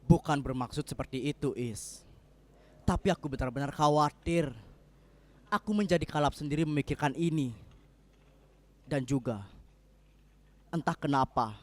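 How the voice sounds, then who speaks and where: An actor playing an anxious, idealistic husband in a dramatic reading, speaking in an earnest, apologetic manner. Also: male voice, mid-range voice, lightly acted. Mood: agitated. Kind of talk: dramatic reading of a stage play.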